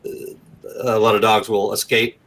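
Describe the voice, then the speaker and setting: A man's voice: lowish voice, level tone, normal speed, a bit bright. A man talking casually as an interview guest, in an easygoing way with conversational asides. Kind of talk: casual chat.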